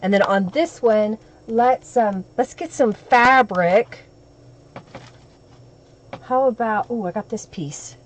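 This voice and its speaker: A woman with a crackly, hoarse voice from a cold, hosting a crafting live stream and chatting with viewers as she works. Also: on the high side, slow pace, long pauses.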